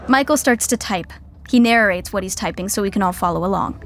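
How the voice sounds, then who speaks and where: A woman's voice: mid-range voice, a little fast, over a noisy crowd, heavy background noise, close to an everyday voice. A woman playing a wry, mock-dramatic narrator of a podcast sitcom, reading scripted narration.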